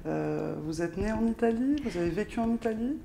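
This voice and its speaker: A woman's voice: mid-range voice, lively intonation, creaky, dark tone, a little hiss or hum. A woman hosting an interview, asking long questions broken up by fillers and restarts. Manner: halting questions with many fillers. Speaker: interview host.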